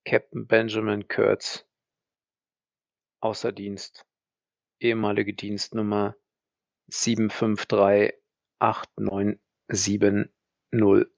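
A man narrating an audio drama as a brooding veteran, in measured, halting phrases that trail into breath. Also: on the low side, completely flat delivery, vocal fry, dry recording, highs cut off. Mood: somber, brooding. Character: brooding male veteran narrating his dark memories.

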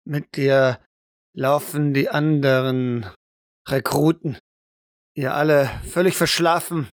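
A man narrating dramatically as game master of an improvised role-play audio drama, with deliberate, suspenseful phrasing. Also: fairly high pitch, steady tone, dry recording, clean studio sound.

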